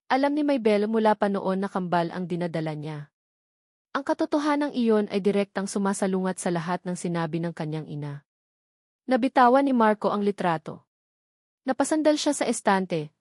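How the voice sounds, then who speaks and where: A woman's voice: mid-range voice, darkish tone, dry recording. Woman narrating a dramatic story, dry sound. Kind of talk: scripted dramatic narration of a love and family story.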